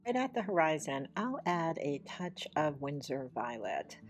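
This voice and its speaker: A woman with a low voice talks through a watercolor demonstration step by step. Her delivery is measured and unhurried. Faint guitar music plays behind her. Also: very animated. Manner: measured, explains each step plainly. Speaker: watercolor teacher. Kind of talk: calm step-by-step painting demonstration voice-over. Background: soft guitar music.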